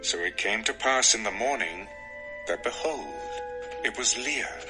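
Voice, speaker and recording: A male narrator delivers scripture as dramatic storytelling. His pitch is on the low side and moves expressively up and down. Music plays clearly behind him. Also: animated, a little slow.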